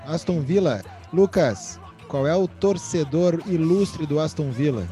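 A man's voice: fairly high pitch, animated, a little slow, warm tone, soft background music. A man from southern Brazil hosting a podcast, chatting casually about football with playful, joking banter. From Rio Grande do Sul, Brazil. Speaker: podcast host.

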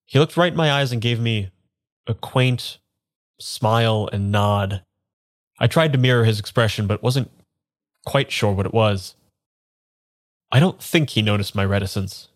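A man's voice: fairly low pitch, dry recording, clean studio sound, close to an everyday voice. A man playing a bewildered, earnest amnesiac in an improvised audio drama, speaking in an unsure, conversational way. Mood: reflective narration. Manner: halting, unsure, rambling. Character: bewildered, earnest man without his memory. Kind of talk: improvised audio drama dialogue and first-person narration.

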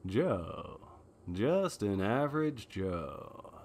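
A man's voice: on the low side, drawn-out words, big-room sound, a little hiss or hum, full character voice. A man playing a wry, wisecracking private detective, narrating in the style of a 1940s noir radio drama. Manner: wry, deadpan.

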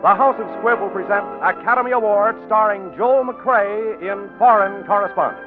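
A male announcer reading a sponsor message and sign-off in a measured, polished cadence. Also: high-pitched. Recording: tinny 1940s radio broadcast, faint music.

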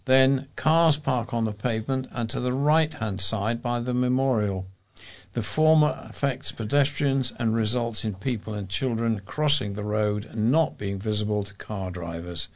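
A man with a British accent reads letters and columns from local papers aloud. He has an average-pitched male voice and works as a volunteer reader for a talking newspaper. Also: normal speed.

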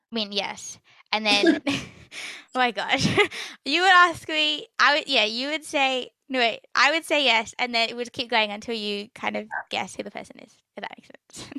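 A teenage girl chatting on her podcast, with frequent ums, small stutters and giggles. Her voice is bright with a gravelly fry. The sound is dry and close to the mic. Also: a little high, dry recording. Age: teens. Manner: stutters, many fillers and giggles. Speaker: podcast host. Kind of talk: casual chat.

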